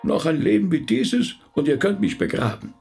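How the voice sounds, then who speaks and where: Gravelly, thoughtful rabbi. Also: male voice, fairly high pitch, sing-song, normal speed, a little mellow, soft background music, full character voice. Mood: reflective. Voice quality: gravelly, husky. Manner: reflective, hesitant, polite. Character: thoughtful, courteous middle-aged male rabbi. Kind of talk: radio play dialogue.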